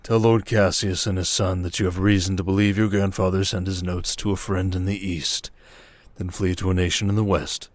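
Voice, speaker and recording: A man playing a stern, protective merchant lord in a roleplay audio drama, speaking in a dry, measured, commanding way. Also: deep voice, little pitch movement, no echo, natural voice. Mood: stern, menacing.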